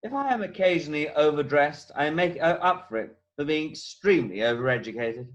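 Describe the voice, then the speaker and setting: Guy reading a flippant young gent in a play, romantic and vain, pitch swings about a lot. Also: lively intonation, brisk, highs cut off, lightly acted. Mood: flippant, teasing. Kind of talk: amateur play read-through. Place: at home on a video call. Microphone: video call.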